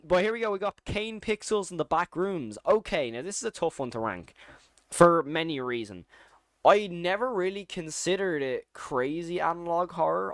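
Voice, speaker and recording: A man's voice: fairly high pitch, no echo, clean studio sound. A man giving casual, opinionated commentary as a video host, talking in an informal, slangy and enthusiastic way. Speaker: YouTube host ranking horror series.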